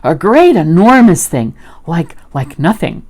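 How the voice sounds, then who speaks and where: A woman voicing a small, timid young male piglet from a storybook, breathless, stammering and excitable. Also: on the low side, unhurried. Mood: flustered.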